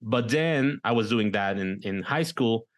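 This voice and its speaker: A man's voice: medium pitch, expressive, a little slow. A middle-aged man from Mexico with a Mexican Spanish accent, a guest talking through his career, often repeating words as he searches for them. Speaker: guest, director and producer. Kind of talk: casual interview talk.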